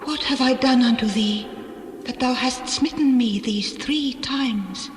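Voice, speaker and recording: A woman voicing an otherworldly creature, solemn and measured in reproach, in a dramatized scripture reading. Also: fairly high pitch, close to an everyday voice. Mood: hurt reproach. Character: otherworldly speaker, talking ass and reproving angel. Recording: distant voice with noisy ambience.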